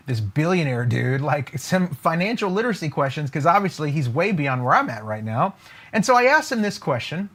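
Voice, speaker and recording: Male business coach, slightly high voice with big swings in pitch, emphatic whiteboard pitch to viewers. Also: fairly bright tone. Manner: emphatic, keeps asking "right?" and "okay?". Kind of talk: motivational training at a whiteboard. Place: room with a whiteboard.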